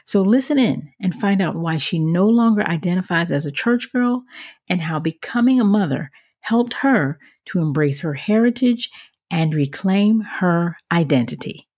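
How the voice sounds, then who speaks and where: Husky-voiced woman hosting a podcast. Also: on the low side, animated, normal speed, darkish tone. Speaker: podcast host. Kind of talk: podcast introduction and interviewing.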